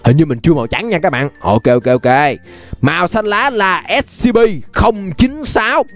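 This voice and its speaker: A man's voice: sits high, lively intonation, normal speed, soft background music. A young man with a Southern Vietnamese accent giving casual, playful, exclamatory gameplay commentary as a YouTube host. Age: young adult. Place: indoor room, webcam setup.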